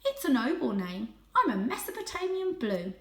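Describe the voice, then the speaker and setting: A woman's voice: high voice, sing-song, normal speed, echoey room, voice pushed up. A woman voicing a naive young male pedigree cat in a storybook, earnest and innocent with a touch of proud dignity.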